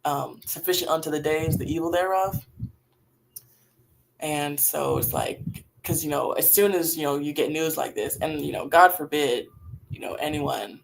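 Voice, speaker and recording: A woman with a raspy voice talking casually and reflectively on a livestream while grieving, in halting phrases that sometimes trail off. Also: low voice, a little hiss or hum, poor audio. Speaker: livestream host. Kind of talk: casual, reflective livestream talk about faith and grief.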